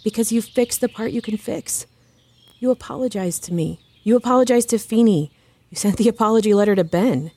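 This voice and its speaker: An actress playing a calm, supportive counselor friend in an audio drama, coaxing in a measured, soothing manner. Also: female voice, medium pitch, no room sound, lightly acted. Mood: gentle, reassuring. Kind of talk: fiction podcast audio drama acting.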